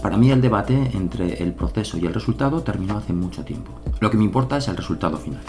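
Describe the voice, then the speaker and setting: A man with an accent from Spain, narrating his own game-development devlog in an earnest, measured way. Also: lowish voice, expressive. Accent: Spain Spanish. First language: Spanish. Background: background music.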